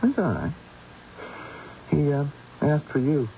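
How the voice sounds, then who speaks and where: Concerned son, lively higher voice. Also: male voice, slow pace, vocal fry, exaggerated voice. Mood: tactful, hesitant. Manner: hesitant, gently reassuring. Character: concerned, tactful adult son of a worried mother. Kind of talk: old-time radio drama acting. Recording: dull, noisy old radio recording.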